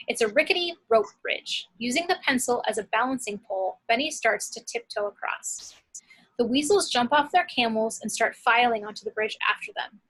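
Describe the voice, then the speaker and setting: Woman narrator, crisp bright tone, higher pitch, scripted action description, amateur table read. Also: poor audio.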